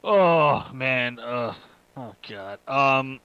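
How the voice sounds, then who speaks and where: A man's voice: medium pitch, lively intonation, extremely slow, sharp tone, clear, resonant tone, muffled recording. A man co-hosting a podcast in casual, informal banter with some swearing. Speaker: podcast co-host. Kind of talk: casual chat, film ranking banter.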